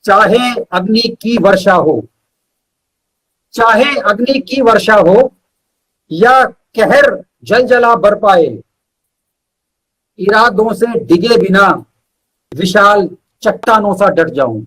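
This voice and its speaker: A man's voice: high voice, lively intonation, takes it slowly, long pauses, a bit bright, rough recording. A man, a poet being interviewed, speaking earnestly and reciting with emphatic repetition. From India.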